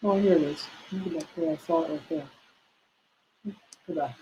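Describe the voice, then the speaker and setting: A woman's voice: low-pitched, normal speed, mellow, highs cut off, a little hiss or hum. Chatty woman teaching a massage class. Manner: chatty, many fillers, talks to herself while clicking slides. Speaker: prenatal massage instructor. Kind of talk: live online class, casual teaching.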